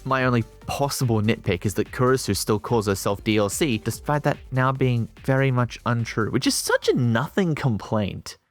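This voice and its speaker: A man's voice: medium pitch, animated, normal speed, few pauses. A man reading a scripted video game review as a voice-over, with colloquial, wry and opinionated phrasing. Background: music behind the voice.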